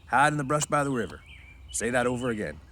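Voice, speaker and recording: A man's voice: medium pitch, sing-song, fast talker, bright, forceful tone, slight background noise, voice pushed up. Bossy, scolding itinerant farm worker performed by a male reader, brusque rural slang, storybook read-aloud. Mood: insistent. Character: gruff, bossy, protective itinerant ranch hand.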